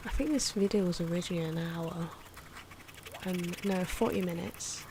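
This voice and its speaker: A soft-spoken woman giving casual ASMR commentary on drawing, often slipping into whispers, in an unhurried, rambling and playful way. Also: fairly low pitch. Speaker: host of an ASMR drawing channel. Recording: clear background noise from drawing sounds. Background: pen and marker scratching.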